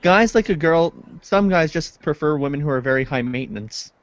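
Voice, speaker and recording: A man's voice: fairly high pitch, expressive, normal speed, no room sound. A man chatting casually. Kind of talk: casual chat. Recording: noisy, dull internet call audio.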